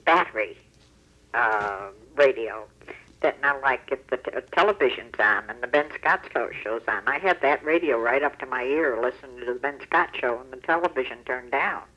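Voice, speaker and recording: Bright-voiced woman on a telephone line. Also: a bass voice, moderate pace. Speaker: regular phone-in caller. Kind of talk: casual chat on a radio phone-in. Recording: radio call over telephone line.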